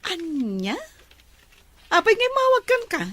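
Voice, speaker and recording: A woman's voice: very high-pitched, huge pitch swings, takes it slowly, pushed and bright, exaggerated voice. A Filipino woman playing a protective, exasperated female friend in an audio drama, speaking in an emphatic, admonishing way. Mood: scolding, insistent. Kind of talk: emotive audio drama acting.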